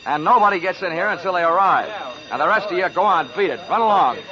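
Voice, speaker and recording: An actor playing a wisecracking, hard-boiled insurance investigator in a 1940s radio drama, with a brisk, dry, commanding delivery. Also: male voice, sits high, close to an everyday voice. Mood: urgent, commanding. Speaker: lead actor of a radio detective serial. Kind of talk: 1940s radio detective acting and narration. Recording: dull 1940s radio recording with music.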